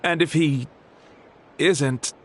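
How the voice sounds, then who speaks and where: Excitable, high-voiced young townsman. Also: extremely slow, a little hiss or hum. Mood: excited, speculating. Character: excitable young male townsman, gossipy, hero-worshipping. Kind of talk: video game voice acting.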